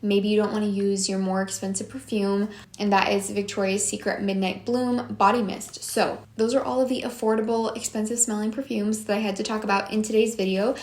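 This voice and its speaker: Brief: young female beauty vlogger, slightly hoarse, chatty and gushing, mid-pitched, recorded in a roomy space. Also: little pitch movement, a little fast, hardly any pauses, darkish tone. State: slightly hoarse from a long day of filming. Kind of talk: casual product review. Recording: echoey room.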